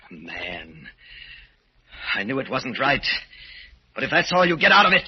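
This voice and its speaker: An actor playing an intense sculptor obsessed with death, brooding and theatrical, in 1940s radio horror style. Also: male voice, mid-range voice, very animated, moderate pace. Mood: probing, bitter. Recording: noisy, muffled old radio recording.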